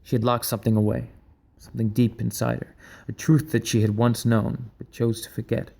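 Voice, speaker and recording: A man playing a haunted, intense leader of dream thieves in an audio drama, speaking in a brooding, deliberate way. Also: medium pitch, level tone, vocal fry, dark tone, husky. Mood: haunted, regretful.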